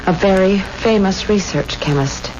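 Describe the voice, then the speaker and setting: A woman's voice: mid-range voice, unhurried, lightly acted. A woman acting in a 1970s radio drama as a frightened young woman, stammering and imploring. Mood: weary, confiding. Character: frightened, pleading young woman in desperate straits. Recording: muffled, noisy old radio recording.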